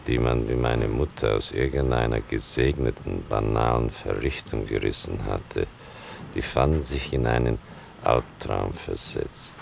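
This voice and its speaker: An extremely low, heavily creaking male voice narrates as a reflective son in a radio play. The delivery is slow, deliberate and dryly ironic. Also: darkish tone, slight background noise, full character voice. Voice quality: gravelly. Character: reflective writer son recounting his mother's story.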